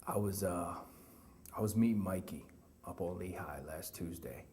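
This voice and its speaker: An actor playing a casual city guy telling a street story in a halting monologue. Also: low voice, a little mellow. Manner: halting, with fillers. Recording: clearly noisy ambient background.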